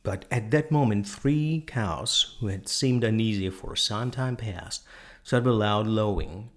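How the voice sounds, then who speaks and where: A man reading a scripted storybook narration in a measured, deliberate manner. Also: fairly low pitch.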